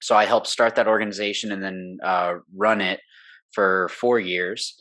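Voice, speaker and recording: A man's voice: lowish voice, steady tone, normal speed, pushed and bright. A young man, a guest on an interview, talking at length in a rambling, earnest way with many fillers, from a home studio room. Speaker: guest, pro paintball player. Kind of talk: casual interview talk.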